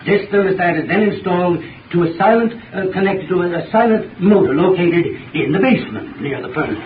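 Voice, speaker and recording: A man giving a formal, deliberate 1930s radio advertising talk as an expert. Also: sits high, expressive. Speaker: heating expert in a sponsor spot. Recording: phone-like, echoey, distant, noisy 1930s radio recording.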